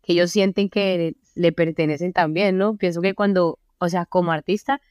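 A young Colombian woman with a Colombian Spanish accent, chatting casually as an interview guest, relaxed and full of fillers. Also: on the low side, moderate pace, no echo, clean studio sound. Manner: relaxed, many fillers like "o sea" and "como". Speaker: singer-songwriter guest. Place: friends' apartment.

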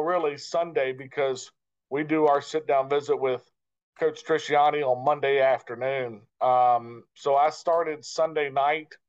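Dull-sounding lo-fi man, measured interview talk. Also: medium pitch, clear, resonant tone. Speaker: guest, radio play-by-play broadcaster. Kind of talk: conversational interview answers.

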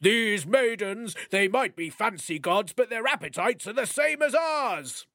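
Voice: mid-range voice, expressive, measured pace, a bit bright, dry recording, clean studio sound, pitched above the performer's own voice. A storyteller voicing Thrym, a male frost giant king who speaks in a jovial, doting way.

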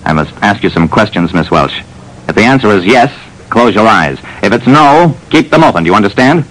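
A man playing a brisk, self-assured private detective who narrates an old radio drama. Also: lowish voice, expressive, a bit bright, poor audio. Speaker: narrator and lead. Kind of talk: 1940s radio acting.

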